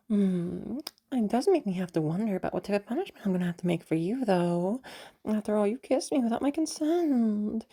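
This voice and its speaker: A woman playing a sly, teasing villainess with a menacing yet tender streak in an intimate romantic audio roleplay, pausing often with soft hums and sighs. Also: heavily acted. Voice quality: low, husky, creaky.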